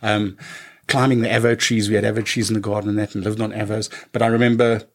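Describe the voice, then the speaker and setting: A man's voice: fairly low pitch, flat delivery, fast. Man, fifties, musician guest, rambling, many restarts. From South Africa. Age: 50s. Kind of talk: casual interview conversation.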